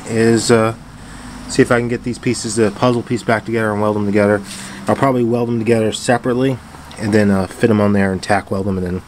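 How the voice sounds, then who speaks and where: A man casually narrating his hands-on home repair in a laid-back, plain-spoken way. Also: medium pitch. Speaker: home DIY video maker. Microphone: handheld camera or phone behind the lens. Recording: noisy handheld camera audio with clicks.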